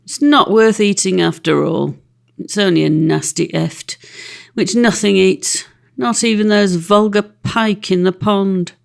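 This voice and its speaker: A woman voicing a wicked, haughty mother otter from a storybook, sharp, emphatic and scornful. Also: medium pitch, a little slow, creaky. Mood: disgusted. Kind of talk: storybook character voice.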